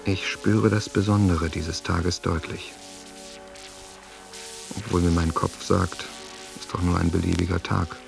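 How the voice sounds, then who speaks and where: Deep, gravelly, reflective diarist. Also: male voice, little pitch movement, full character voice. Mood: tender, reflective. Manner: introspective, measured. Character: reflective, moved man reading his diary. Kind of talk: radio play interior monologue. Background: music.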